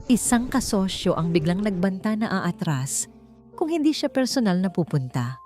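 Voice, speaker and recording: A woman's voice: mid-range voice, sing-song, normal speed, a little mellow, soft background music. A woman reading a scripted dramatic story as its narrator.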